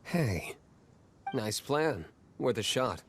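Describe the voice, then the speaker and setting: A man's voice: medium pitch, sing-song, very slow, a little hiss or hum, full character voice. A man voicing an aloof young nobleman in a video game, speaking in a dry, restrained, sardonic way. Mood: cool approval.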